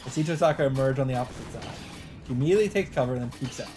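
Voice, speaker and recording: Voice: medium pitch, racing, mellow, faint music behind. A let's player reading a visual novel aloud in dramatic narration, breaking off for casual asides and exclamations.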